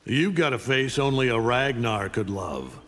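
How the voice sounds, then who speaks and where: An actor playing an arrogant, menacing alien superhero conqueror, imperious and taunting, in a fighting game. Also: male voice, mid-range voice, big pitch swings, a little slow, a bit bright, long reverb tail. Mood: contemptuous. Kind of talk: fighting game character dialogue.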